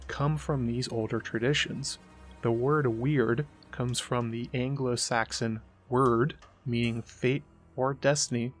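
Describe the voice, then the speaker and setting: A man presenting scripted explanatory narration as the host. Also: mid-range voice.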